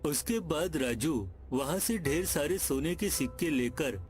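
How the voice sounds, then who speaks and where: A man's voice: fairly high pitch, unhurried, a little mellow. A man reading scripted narration for a cartoon moral tale as its storyteller. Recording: music behind the voice.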